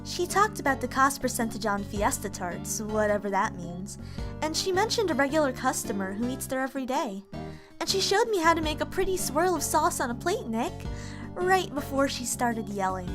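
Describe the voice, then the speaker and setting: A woman playing a bubbly, excitable young female sidekick with a chirpy, bouncy manner in an audio drama. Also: sits high, normal speed. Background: music.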